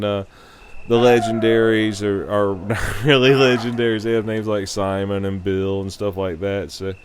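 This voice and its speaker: A man hosting a podcast, talking casually on his own in a halting, rambling way. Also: on the low side, a little mellow, a little hiss or hum. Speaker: podcast host. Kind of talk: casual solo podcast talk.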